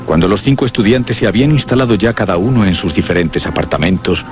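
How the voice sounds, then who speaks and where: A man playing the grave narrator of a suspense radio play, speaking in a solemn, deliberate, ominous way over music. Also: fairly low pitch, natural voice. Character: grave male narrator of a horror tale. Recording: dull lo-fi radio drama with music behind. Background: clear background music.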